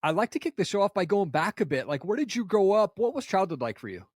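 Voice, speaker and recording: A man's voice: sits high, big pitch swings, fast talker, no echo. A man in his forties hosting an interview podcast, upbeat and casual, talking into a studio microphone at home. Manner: upbeat, casual, says man and dude a lot. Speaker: podcast host. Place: home studio with a flag backdrop. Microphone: studio mic on a stand, headphones.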